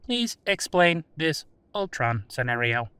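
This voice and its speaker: A man's voice: a little high, big pitch swings, measured pace, sharp tone, clear, resonant tone, no echo, heavily acted. A man voicing an old artificial intelligence in an audio drama, formal, literal and emotionless in a stilted manner. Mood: literal, guarded. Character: old male-voiced artificial intelligence, cold, literal, guarded.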